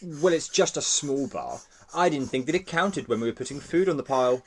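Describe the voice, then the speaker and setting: A man playing a cautious, reasonable passenger with a husky voice in an amateur radio play. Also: a little high, animated, brisk, slight background noise. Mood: defensive.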